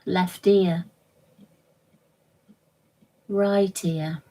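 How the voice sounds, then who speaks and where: Female meditation guide, slightly low pitch, extremely unhurried delivery, soothing short phrases, long pauses. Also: steady tone, dark tone, poor audio. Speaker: yoga nidra instructor. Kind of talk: guided meditation, relaxation instruction.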